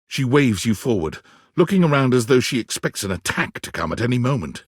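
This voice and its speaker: A dramatic storyteller for a sci-fi video game. His mid-pitched voice is husky and rises and falls expressively. The scripted narration is recorded close and dry. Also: very animated, brisk, no echo. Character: male second-person narrator of a sci-fi game adventure.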